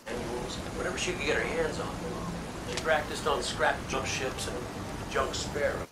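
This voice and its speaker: An actor playing a gruff-hearted, wisecracking gunslinger mentor in a sci-fi video game, talking in a wry, colloquial, teasing way. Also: male voice, medium pitch, moderate pace, lightly acted. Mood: wry, nostalgic. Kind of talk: video game dialogue acting. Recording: distant roomy voice over loud ambient noise.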